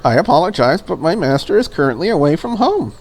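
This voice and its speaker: A man's voice: a little high, sing-song, quick pace, a little mellow. A man voicing a polite, curious, slightly lonely maid in a visual novel, with formal and prim phrasing.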